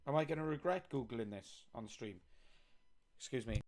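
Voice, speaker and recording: A man's voice: medium pitch, expressive, normal speed, darkish tone. A man with a Welsh accent live-streaming a coding game, chatting casually as he thinks aloud and reads on-screen text.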